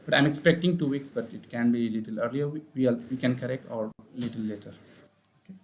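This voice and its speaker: Indian male therapist, live stream host, steady and measured, faint hiss behind. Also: mid-range voice, poor audio. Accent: Indian English. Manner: measured, repeats points, frequent uh fillers. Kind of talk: live-stream talk and advice. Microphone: online live stream.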